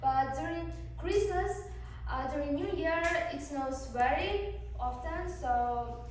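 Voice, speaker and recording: A sixteen-year-old girl from Uzbekistan, a native Uzbek speaker, giving a class presentation slowly and carefully, with pauses and fillers. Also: sits high, a little mellow. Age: teens. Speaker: exchange student presenter. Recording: roomy, distant, faint noise.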